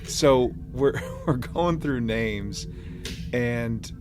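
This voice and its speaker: A man co-hosting a podcast in casual chat, halting as he pieces his thoughts together with many pauses. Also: medium pitch, noisy background. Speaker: podcast co-host.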